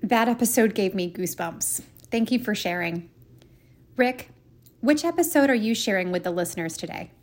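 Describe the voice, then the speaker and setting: A woman's voice: medium pitch, animated, moderate pace, a little mellow. A woman moderating a podcast who hands over to co-hosts in a welcoming way. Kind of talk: hosting handoffs.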